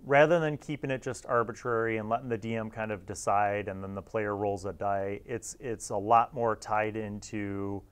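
Low-voiced middle-aged man reviewing casually. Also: flat delivery, moderate pace, hardly any pauses, clean tone. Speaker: video host and reviewer. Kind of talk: casual review commentary to camera.

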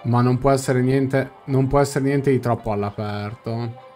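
Young man, Italian accent, game streamer, casual reaction, rephrases often, soft background music. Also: mid-range voice, little pitch movement. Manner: halting, rephrases as he translates. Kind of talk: casual live reaction, translating game dialogue aloud. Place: small indoor room. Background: faint game music.

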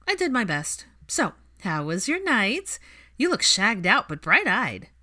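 A woman narrator plays a brash young best friend, nosy and gleefully teasing. The voice jumps high and loud, in audiobook storytelling. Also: very animated, unhurried, full character voice.

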